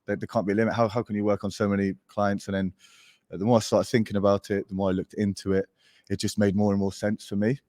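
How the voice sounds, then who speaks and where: A man's voice: on the low side, fast, no echo, clean studio sound. A young man with an English accent, raised abroad, talking casually and loosely as a podcast guest with lots of fillers. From born in Portugal, raised in Spain. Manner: relaxed, rambling, many fillers. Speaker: guest, agency founder.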